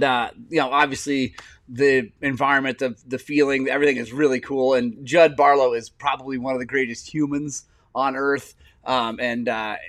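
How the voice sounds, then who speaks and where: A man in his forties, a podcast host telling stories in casual chat, animated and quick to laugh. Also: medium pitch, dry recording. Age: 40s. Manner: animated storyteller, laughs often.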